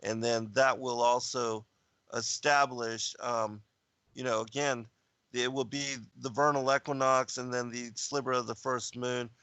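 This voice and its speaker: A male guest explaining calendar dates in a casual talk, halting with many fillers. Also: mid-range voice, level tone, pushed and bright, clear, resonant tone, no echo. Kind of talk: casual discussion of biblical calendar.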